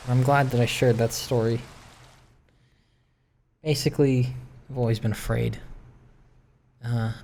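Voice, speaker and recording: A young man rambling casually and candidly in personal stories over gameplay, introspective, halting and questioning himself. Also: mid-range voice, noisy background. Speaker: gaming video maker.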